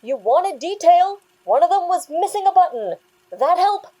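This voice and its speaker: A woman playing a shaken, defensive young bank worker giving halting testimony in an audio drama. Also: very high-pitched, very animated, mellow, low-quality recording. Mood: angry, desperate. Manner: halting, insistent, stammering. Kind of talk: dramatic audio fiction acting.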